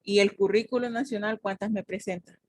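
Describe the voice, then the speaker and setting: Mujer docente hondureña explicando con paciencia. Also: female voice, medium pitch, steady tone, fairly quick, very noisy. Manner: explanatory, corrects and clarifies. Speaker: teacher. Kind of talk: university class discussion.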